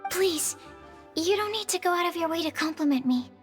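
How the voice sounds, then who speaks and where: High-voiced, earnest young alchemist. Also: female voice, expressive, a little fast. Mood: modest, precise. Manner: modest, precise, bookish. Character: shy, earnest young female alchemist and researcher. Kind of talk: video game character dialogue. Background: faint music.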